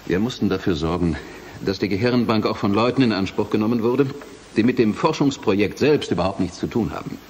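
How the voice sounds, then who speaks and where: A man's voice: on the low side, a little fast, darkish tone, a little hiss or hum, close to an everyday voice. An actor in a German radio play playing a defensive male research council president, formal and precise. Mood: precise, careful.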